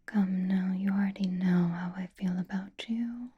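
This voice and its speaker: A woman voicing a possessive, haughty, flirtatious vampire in a breathy, intimate whisper for an ASMR roleplay. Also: mid-range voice, steady tone, muffled recording. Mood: doting, possessive. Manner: intimate, languid, disdainful, drawn-out phrasing with pauses.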